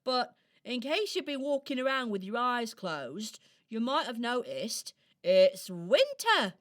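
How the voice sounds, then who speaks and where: A woman's voice: fairly high pitch, big pitch swings, slow pace. A woman voicing a grumbling, theatrical, shivering robin in a children's radio play, with exclamatory complaints. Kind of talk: children's radio play acting, partly sung.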